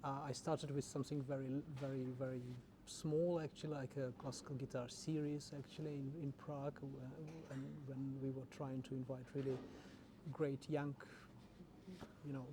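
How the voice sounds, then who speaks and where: A man who grew up in the Czech Republic, an orchestra's general manager, answering interview questions in a halting way with many repeated words. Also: mid-range voice, level tone. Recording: noisy background.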